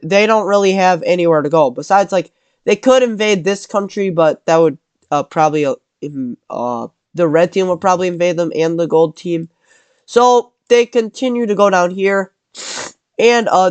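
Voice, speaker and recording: A man's voice: high-pitched, clean tone, no room sound. A man with a cold narrating a map scenario in casual commentary, rambling and correcting himself as he goes. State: has a cold.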